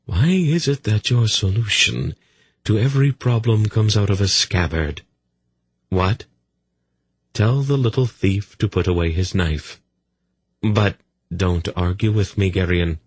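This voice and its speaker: A man's voice: on the low side, lively intonation, unhurried, pauses often, husky, no room sound, pitched above the performer's own voice. A man voicing a dry, sardonic disembodied voice that speaks inside a character's mind, giving curt commands.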